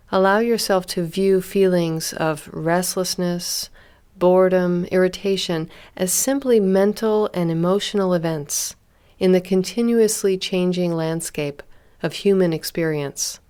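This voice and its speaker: Woman doing a guided body scan, kinda low voice, gentle n calm, takes her time w long pauses. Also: smooth. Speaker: meditation instructor.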